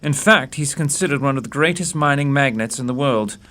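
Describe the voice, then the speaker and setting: A man's voice: mid-range voice, fairly quick, a bit bright, lightly acted. A man playing a self-assured master detective in an audio drama, reasoning in precise, formal phrases. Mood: matter-of-fact. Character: brisk, self-assured male master detective, analytical and urbane. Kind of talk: radio-play acting.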